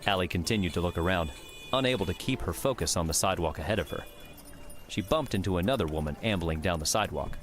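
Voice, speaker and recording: Narrator for fantasy audiobook: man, low register, scripted storytelling delivery, light music bed. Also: animated, fast.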